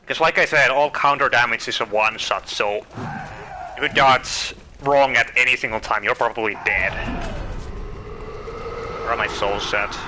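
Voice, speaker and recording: A man with a Finnish accent giving casual, chatty commentary while streaming a game, thinking out loud and asking his viewers questions. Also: mid-range voice, moderate pace, long pauses, a little hiss or hum, poor audio. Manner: chatty, thinks aloud, asks viewers questions, self-correcting. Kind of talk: casual live gameplay commentary.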